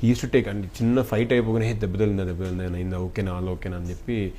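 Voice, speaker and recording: A man's voice: deep voice, little pitch movement, racing, dark tone. A man with a Telugu accent, an actor giving an earnest, grateful interview and slipping between languages. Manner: earnest, effusively thankful. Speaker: film actor being interviewed. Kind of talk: interview chat mixing Telugu and English.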